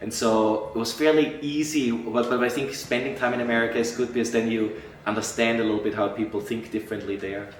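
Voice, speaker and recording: A middle-aged man from Austria, an entertainment journalist answering interview questions, animated and acting out quoted colleagues, with frequent restarts. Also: mid-range voice, a little fast, slight background noise. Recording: echoey room, voice a bit far from mic.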